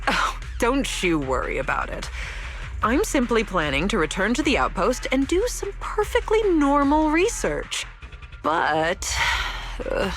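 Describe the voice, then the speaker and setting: A woman's voice: medium pitch, a bit bright. An actress playing a playful, rebellious young woman researcher in a video game, teasing, breezy and sure of herself. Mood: playfully grumbling. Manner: teasing, breezy, self-assured. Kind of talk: scripted video game story dialogue. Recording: music behind the voice.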